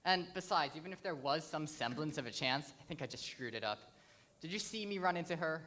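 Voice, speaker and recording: A man's voice: a little high, lively intonation, brisk, pushed and bright. A man reading the part of a shy, awkward nerdy boy who stammers, in a staged reading of a musical. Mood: doubtful. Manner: hesitant, stammering.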